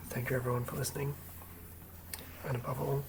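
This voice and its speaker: A person whispering breathily and deliberately close to the microphone, as the host of a fantasy game ASMR read-through. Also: mid-range voice, level tone, vocal fry, far from the mic, noisy background. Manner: hushed, deliberate phrase-by-phrase reading. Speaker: host and game master reading a monster stat block.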